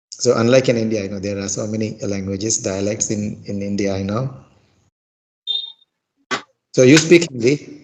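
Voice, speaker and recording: A middle-aged man with a Sri Lankan accent speaks English in casual conversation practice. His voice sits low, with a gravelly, fry-laden edge. He hesitates a lot, filling gaps and restarting phrases. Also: a little mellow, poor audio. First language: Sinhala. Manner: hesitant, many fillers and restarts. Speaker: English learner.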